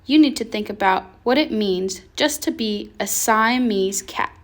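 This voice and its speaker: A woman's voice: mid-range voice, a little slow, natural voice. A woman voicing a stern mother cat who lectures firmly in a children's storybook. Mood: scolding. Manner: lecturing, firm.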